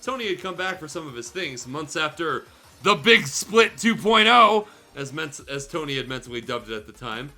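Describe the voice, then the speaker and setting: A man's voice: a little high, big pitch swings, moderate pace, bright, forceful tone. A man hosting a livestream, giving a theatrical comedic reading of fan fiction with irreverent, sweary asides. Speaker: guest host reading fan fiction aloud on a livestream show. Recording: music playing behind the voice. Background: music.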